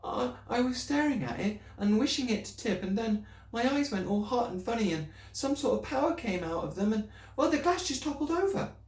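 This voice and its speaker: A man reading aloud and voicing a small, bright, earnest girl who asks eager, pleading questions. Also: very high voice, expressive, fairly quick, pitched above the performer's own voice. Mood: excited. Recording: roomy, distant mic.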